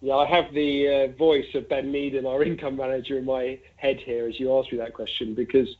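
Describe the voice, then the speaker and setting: A man heard through a narrow, phone-like connection, speaking as a fund manager giving market commentary. His pitch is middling and stays fairly flat. He talks discursively and conversationally, working through his points aloud with asides and examples. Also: fairly quick. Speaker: investment fund manager being interviewed. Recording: narrow, tinny phone-like line.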